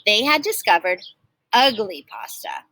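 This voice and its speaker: Bright, animated woman reading a storybook. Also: medium pitch, a little slow, vocal fry, slight background noise, low-quality recording. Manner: animated, with emphatic stresses and chatty asides. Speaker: teacher reading a picture book aloud. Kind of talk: expressive storybook read-aloud for children.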